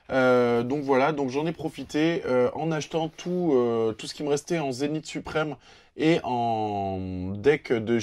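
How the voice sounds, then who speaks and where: French male host, stuffy from a cold, mellow voice, halting chatty unboxing patter. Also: fairly high pitch. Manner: hesitant, frequent filler sounds; lists cards in a steady patter. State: has a cold. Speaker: YouTube channel host. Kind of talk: casual card unboxing commentary.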